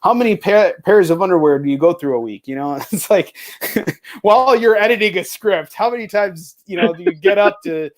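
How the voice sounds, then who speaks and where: A man with a fairly high voice chats as a livestream host. He rambles in slangy, profane language, as if shooting the breeze with a fellow creator. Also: moderate pace. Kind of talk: casual chat with a guest.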